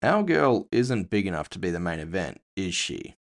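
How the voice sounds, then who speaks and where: A man's voice: low voice, big pitch swings, normal speed, vocal fry, heavily acted. A man playing a weary, dry-witted investigator in a cyberpunk audio story, with a sardonic manner. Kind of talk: first-person audio fiction dialogue.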